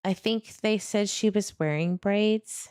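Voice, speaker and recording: A woman's voice: medium pitch, slow pace, darkish tone, clear, resonant tone. A woman hosting a true crime livestream, commenting casually and earnestly as she talks directly to her viewers.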